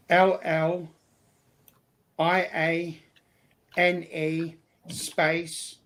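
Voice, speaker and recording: A man's voice: fairly high pitch, a bit bright. A blunt, sweary man chatting casually as a guest on a livestream.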